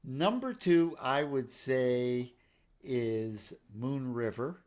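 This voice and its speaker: A man's voice: medium pitch, very slow, darkish tone. Middle-aged guy from new york, jokey podcast co-host, likes to hum tunes. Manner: jokey, playful, breaks into humming. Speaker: podcast co-host, pianist and songwriter. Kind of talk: casual podcast chat.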